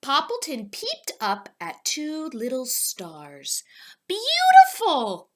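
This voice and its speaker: A woman's voice: mid-range voice, sing-song, unhurried, sharp tone. A woman teacher reading a children's storybook aloud, expressively, with asides spoken directly to her young listeners.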